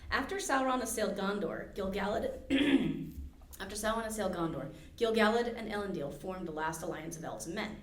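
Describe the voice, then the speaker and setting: Woman, podcast host, chatty and casual with lots of ums, mid pitch, roomy echo. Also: brisk, recorded from a distance. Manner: chatty, many fillers and restarts. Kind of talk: casual chat with trivia quiz.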